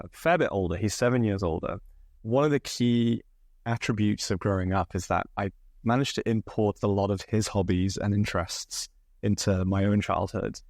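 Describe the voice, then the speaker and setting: Gravelly English man, casual storyteller. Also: fairly low pitch, no echo, clean studio sound. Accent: English, slightly northern. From England. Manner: relaxed, many fillers, laughs often. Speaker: podcast guest. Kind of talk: candid conversational storytelling.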